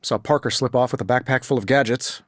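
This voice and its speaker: An actor playing a cocky genius billionaire superhero in a video game, with an American accent and a glib, sarcastic, wry delivery. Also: male voice, mid-range voice, fairly even intonation, fast, no room sound, clean studio sound, close to an everyday voice.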